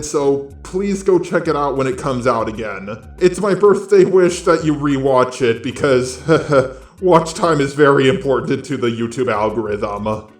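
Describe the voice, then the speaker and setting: A man's voice: fairly high pitch, echoey room. A man giving scripted, opinionated commentary as a video host, sarcastic and freely swearing. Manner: sarcastic, profane.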